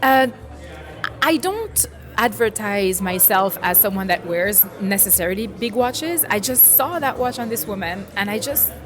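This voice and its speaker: A middle-aged woman from France, talking casually in an interview, animated and laughing, often trailing off and restarting. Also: mid-range voice, measured pace. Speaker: interviewee, watch collector. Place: busy indoor event. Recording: crowd chatter behind.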